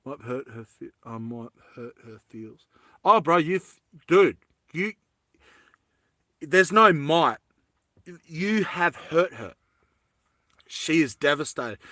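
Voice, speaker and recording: A man's voice: on the high side, pushed and bright, no room sound. A man hosting a casual comic chat, talking in a slangy, teasing way. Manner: slangy, teasing, laughs often. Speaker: host.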